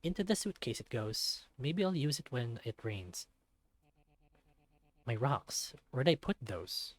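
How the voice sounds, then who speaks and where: A man's voice: medium pitch, sing-song, slow pace. A man voicing a self-deprecating young male otter, narrating a visual novel in the first person with wry self-mockery. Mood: wry, matter-of-fact.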